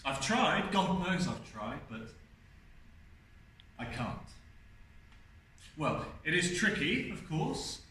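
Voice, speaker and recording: A wry, defiant ageing man played by a young man confides in a theatrical monologue, repeating himself haltingly. The voice is fairly high and animated, heard from a distance in a very reverberant hall. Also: exaggerated voice. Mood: rueful confession. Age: young adult. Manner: confiding, rhetorical, halting repetitions. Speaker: drama student performing a monologue. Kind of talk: theatrical first-person stage monologue. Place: indoor university event space, on stage. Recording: distant, very echoey hall, faint noise.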